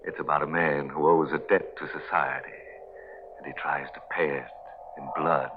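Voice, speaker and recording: A narrowband vintage radio recording with music carries a very deep male voice. He is a horror host in 1940s style, sardonic and playfully macabre. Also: steady tone, moderate pace, a little hiss or hum.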